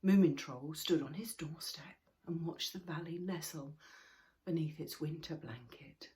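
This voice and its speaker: A woman narrating a children's story expressively and deliberately, sometimes dropping into a hush. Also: sits low. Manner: expressive, deliberate, with hushed passages.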